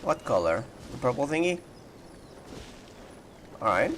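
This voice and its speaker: A man's voice: medium pitch, very animated, a little slow, background noise. A man doing casual playthrough commentary, thinking aloud and speculating as he reacts. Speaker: gaming video creator. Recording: voice over background game music.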